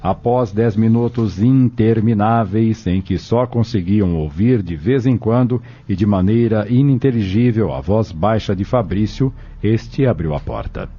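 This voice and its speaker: Low, mellow, husky Brazilian male narrator. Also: a little slow, hardly any pauses. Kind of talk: scripted audio drama narration. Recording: dull lo-fi audio with music behind. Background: music.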